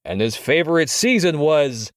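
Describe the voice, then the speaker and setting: An actor playing a slick, showy game show host with teasing menace in an audio comedy drama. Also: male voice, a little high, sing-song, no echo, clean studio sound. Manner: showy, drawn-out announcing.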